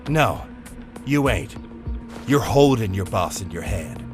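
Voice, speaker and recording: An actor playing a tough young street vigilante, blunt, slangy and fed up, in a comic-book audio drama. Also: male voice, lowish voice, animated, slow pace, soft background music.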